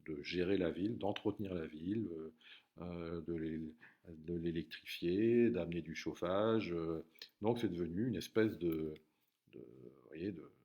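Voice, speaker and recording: A man's voice: sits low, measured pace, muffled recording. A man teaching a recorded geography lesson, hesitant, with many fillers and restarts.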